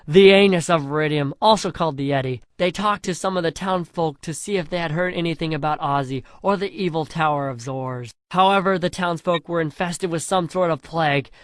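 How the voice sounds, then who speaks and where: A young man reading a crude silly fan story aloud in a deadpan way, slowing down for dramatic chapter titles. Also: a little high, dry recording, clean studio sound. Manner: deadpan crude comic narration with dramatic slowdowns.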